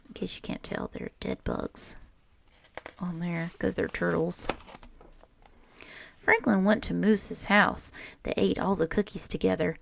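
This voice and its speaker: Creaky-voiced woman reading a children's story. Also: on the low side. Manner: animated, deliberate storytelling with acted character lines. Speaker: teacher reading a picture book aloud. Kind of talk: expressive children's story read-aloud.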